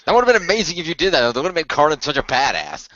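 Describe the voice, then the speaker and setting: A man's voice: a little high, sing-song, very fast, a bit bright. A man chatting casually as part of a group discussion, recorded with slightly lo-fi sound. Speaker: radio play cast member on a wrap-up discussion.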